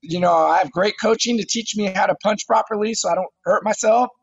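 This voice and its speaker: Thirtysomething fighter guy, husky high voice, eager, trips over his starts, dry dull audio. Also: fairly quick, dry recording. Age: 30s. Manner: eager, repeats words when starting phrases. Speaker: MMA fighter guest. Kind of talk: casual interview talk.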